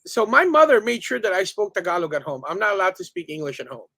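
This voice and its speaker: A man, a guest on a casual talk show, telling stories with lively quoted voices. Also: sits high, fast talker, no echo. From Philippines. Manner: animated storyteller, quotes people in voices. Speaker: podcast guest. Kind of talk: casual interview chat.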